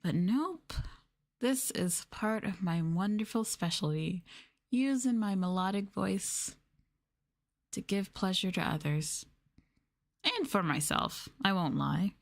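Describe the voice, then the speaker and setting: A woman's voice: mid-range voice, slow, gravelly. A woman livestreaming an ASMR roleplay, talking down to her viewers in a teasing, sultry, condescending way. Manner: teasing, condescending, mock-sadistic dominance.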